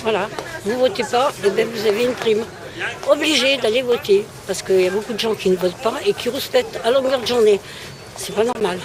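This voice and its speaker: Indignant forceful street answer, middle pitch, crowd behind. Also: fairly quick. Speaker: interviewee. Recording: crowd noise outdoors.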